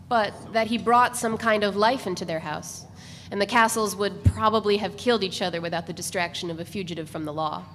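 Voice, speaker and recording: A woman's voice: mid-range voice, fairly bright tone. A woman narrating a literary novel in a scripted dramatic reading. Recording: reverberant hall with background noise.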